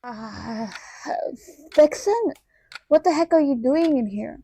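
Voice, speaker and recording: A woman's voice: sits high, lively intonation, takes it slowly, creaky, mellow, slightly rough, full character voice. A woman playing an overworked, modest human manager in a radio play, reserved and hesitant in delivery. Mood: groggy, flustered. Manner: reserved, hesitant, slips into Spanish. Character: Ari, overworked, modest human woman running a cartoon studio. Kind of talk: fictional radio theater acting.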